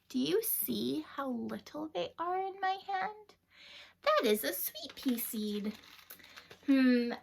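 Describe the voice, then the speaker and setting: Slow, creaky-voiced woman reading to children. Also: a little high, slight background noise. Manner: expressive, addresses children with questions, often drops into whispers. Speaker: read-aloud host for young children. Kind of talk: animated children's storybook read-aloud with chat to the listeners. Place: at home.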